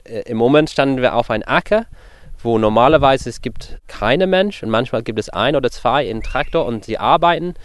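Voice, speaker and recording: A male farmer with an English accent explains things in an informal way. His voice sits at a middle pitch. His phrasing is simple and clearly that of a native English speaker using a second language. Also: animated, fairly quick, no room sound. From England. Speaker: farmer in charge of pigs and crops. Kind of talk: interview answers and informal instructions to a group.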